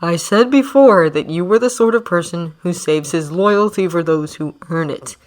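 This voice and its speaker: A woman voicing a calm, manipulative man who speaks evenly and softly. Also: fairly low pitch, moderate pace, close to an everyday voice. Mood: coaxing. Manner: even, soft, coaxing. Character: calm manipulative male villain, soft-spoken.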